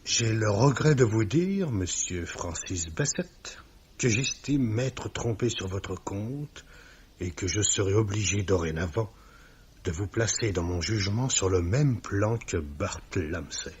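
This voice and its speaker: An actor playing a stern, sanctimonious company boss who speaks in pompous, formal, scripture-laden sentences in a 1950s-style radio mystery. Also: male voice, fairly low pitch, lively intonation, measured pace. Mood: grave, accusing. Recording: dull old radio recording with clear hiss.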